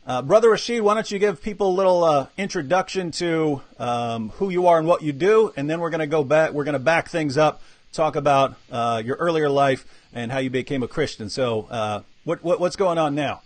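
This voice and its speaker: A man's voice: on the high side, a little fast, no echo. A man in his forties hosting a live video-call interview from a room with bookshelves, talking energetically with many fillers and restarts. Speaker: host. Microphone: webcam video call.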